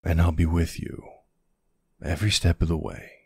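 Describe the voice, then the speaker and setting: A man playing a protective, gruff but tender older boyfriend in an ASMR audio roleplay, unhurried and plain-spoken, with a husky, gravelly voice. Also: sits low, level tone, mellow, no room sound, studio quality, full character voice. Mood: tender, reassuring.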